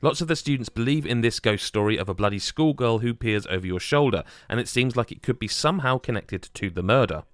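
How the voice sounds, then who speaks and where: A man narrates a scripted game review. His voice sits at an average male pitch. The recording is dry, with very little room sound. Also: lively intonation, brisk, hardly any pauses, fairly bright tone, no room sound.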